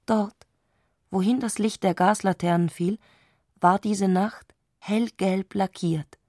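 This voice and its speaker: A woman reading literary narration for a radio play in a scripted, measured storytelling style. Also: medium pitch, fairly even intonation, a little mellow, dry recording, studio quality.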